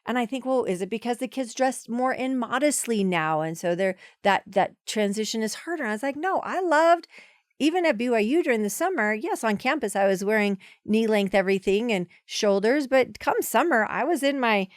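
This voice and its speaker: A woman's voice: on the high side, animated, a little fast, dry recording, studio quality. A woman in her forties, a podcast guest, talking in a chatty, emphatic way with many restarts. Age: 40s. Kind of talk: casual conversational interview.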